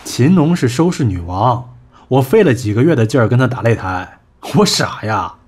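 An actor in a Chinese audio drama playing a sharp-tongued entertainment boss, blunt, scolding and colloquial. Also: male voice, mid-range voice, very animated, normal speed, husky. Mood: exasperated.

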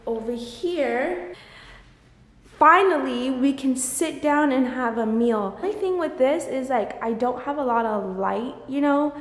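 A woman's voice: high-pitched, unhurried. A young woman chatting effusively to a phone camera held at arm's length in an empty apartment. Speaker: vlogger. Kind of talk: casual vlog chat. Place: large empty apartment, indoors. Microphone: handheld phone camera at arm's length. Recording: echoey empty room with long reverb.

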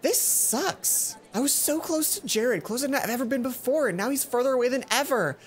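A man's voice: extremely high pitch, animated, brisk, voice pushed up. A man voicing an indignant, brash teenage schoolgirl from a visual novel, in a whiny, exasperated way. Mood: upset, whiny.

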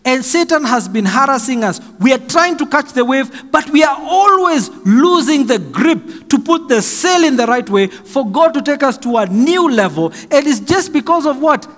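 A middle-aged Kenyan man preaching a slow, emphatic sermon from a pulpit stand mic in a large church hall. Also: very high voice, lively intonation, a bit bright. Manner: slow and emphatic, raising his voice for stress. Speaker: pastor. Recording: long hall reverb, faint music.

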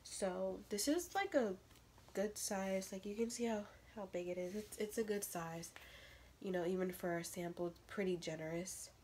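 A young woman sounding a little stuffy, talking loosely and rambling, with plenty of ums. She speaks at a middle pitch to a camera set up close in a bedroom. A faint hiss sits under the recording. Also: normal speed, darkish tone. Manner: chatty, rambling with many fillers. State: stuffy nose. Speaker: vlogger. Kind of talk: casual unboxing vlog. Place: bedroom with a crib, indoors.

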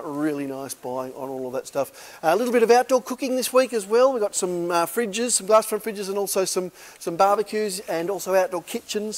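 Aussie auctioneer guy walking round a warehouse, chatty, lots of uh's, bit high pitched. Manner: chatty and upbeat, many "uh" fillers, calls listeners folks. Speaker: auctioneer giving a preview walkthrough. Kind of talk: casual walk-and-talk sales tour. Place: large indoor warehouse. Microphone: handheld camera while walking.

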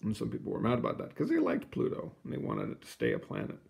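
Animated man reading to children. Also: mid-range voice, fast talker, vocal fry. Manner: expressive, explanatory storytelling. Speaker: picture book reader. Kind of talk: children's read-aloud with casual asides.

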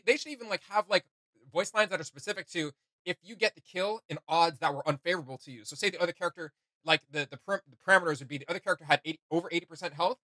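A man's voice: high-pitched, a bit bright, no room sound. A young man hosting a reaction video, chatting casually and giving his opinions. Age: young adult. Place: small indoor room with gaming setup.

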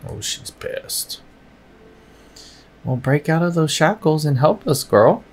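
A middle-aged man giving laid-back, joking reaction commentary as a gaming streamer, in a husky, gravelly voice. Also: lively intonation, slow. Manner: laid-back, low-key talk, joking. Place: indoor room, streaming setup. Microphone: desktop microphone.

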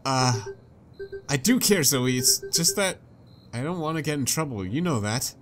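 A man's voice: medium pitch, lively intonation, moderate pace, slight background noise, natural voice. A man voicing a cautious, worried young female journalist in a visual novel game, hesitant and pleading. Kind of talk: reading visual novel dialogue aloud. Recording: game music behind.

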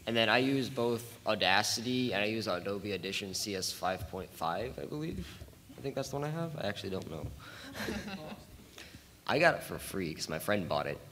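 Casual male sound designer, lowish voice, live panel in an echoey hall. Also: lively intonation, normal speed, fairly bright tone. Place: large convention hall, panel table. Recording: echoey hall, faint room noise.

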